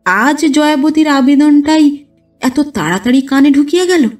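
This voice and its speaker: A woman reading a classic literary story as an audio narrator, in a measured, expressive storytelling manner. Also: high-pitched, distant mic. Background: background music.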